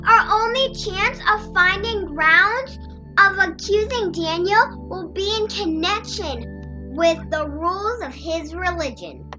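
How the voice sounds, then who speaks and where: Scheming, flattering palace official acted by a reader, extremely high voice, drawn-out delivery, dull recording. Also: exaggerated voice. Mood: scheming, formal. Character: scheming royal officials addressing the king, high shrill voices. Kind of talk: dramatised Bible reading. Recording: muffled audio with music behind.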